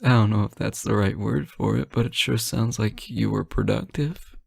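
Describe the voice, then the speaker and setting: A man playing a sleep-deprived, teasing boyfriend on an intimate late-night call, soft-spoken, hesitant and gently joking. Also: on the low side, moderate pace, vocal fry, breathy edge, dry recording, studio quality, exaggerated voice. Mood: tired, apologetic. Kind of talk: intimate audio roleplay, one side of a phone call.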